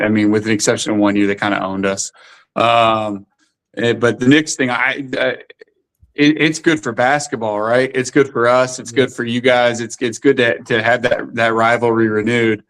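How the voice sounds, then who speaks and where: Smooth low-voiced man in his forties. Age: 40s. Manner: halting, many fillers and restarts. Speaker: guest. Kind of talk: casual podcast chat.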